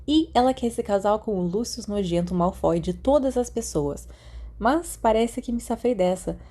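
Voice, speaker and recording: A Brazilian woman reading fan fiction letters aloud as an audiobook narrator, in an expressive, conversational way. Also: mid-range voice.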